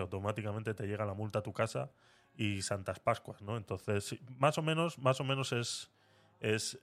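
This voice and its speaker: Presentador español de podcast en directo. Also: male voice, on the low side, fairly bright tone. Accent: Castilian Spanish. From Spain. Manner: conversational, hesitant, fillers. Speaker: podcast host. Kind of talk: live podcast chat.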